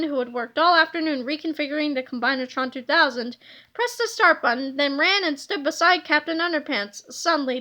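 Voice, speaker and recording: A woman narrating a children's storybook aloud in an expressive, scripted reading style. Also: high voice.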